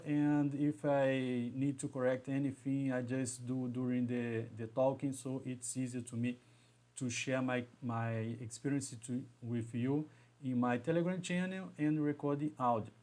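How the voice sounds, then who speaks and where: A man in his thirties with a Brazilian Portuguese accent, speaking in a middle-pitched voice. He talks to live viewers slowly and haltingly, often repeating a word before going on. He sits at a webcam in a small room at home. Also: fairly even intonation, darkish tone. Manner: halting, with frequent restarts and repeated words. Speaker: engineering educator hosting a live session. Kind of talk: live stream talk to viewers.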